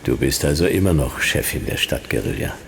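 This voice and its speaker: An actor playing a cynical hard-boiled detective in a noir radio drama, speaking in dry, clipped, deadpan phrases. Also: male voice, extremely low pitch, a little fast, gravelly, a little hiss or hum, exaggerated voice. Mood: dry, sceptical.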